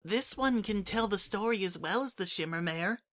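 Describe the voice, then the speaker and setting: A man voicing a small, eager young female changeling child in an audiobook-style reading, with a high, insistent manner. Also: normal speed. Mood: earnest. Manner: excited, high-pitched and insistent.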